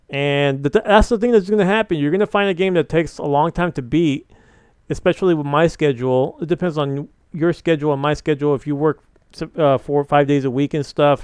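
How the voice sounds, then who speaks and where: A man's voice: on the high side, clean tone, no echo. A young man giving casual, opinionated commentary on gaming news, rambling with many fillers and restarts. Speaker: gaming channel host.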